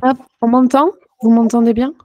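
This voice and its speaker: A woman with a mellow, relaxed voice speaks casually to an online group. She is chatty and self-deprecating, and the sound is dry and close. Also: on the high side, moderate pace, no room sound. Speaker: fortune-teller and trainer introducing herself. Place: online video call.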